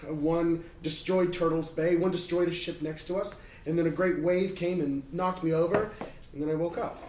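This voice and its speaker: A man playing a tabletop game, roleplaying casually in a hesitant, halting way. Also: a little high, steady tone, a little mellow. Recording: roomy, distant mic, faint noise.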